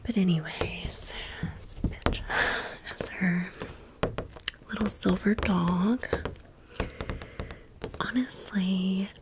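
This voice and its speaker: A woman whispering in a breathy voice, chatting casually through an ASMR haul with gushing, sassy slang. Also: medium pitch, extremely slow, long pauses. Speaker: ASMR video creator. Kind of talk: ASMR doll haul, rambling commentary.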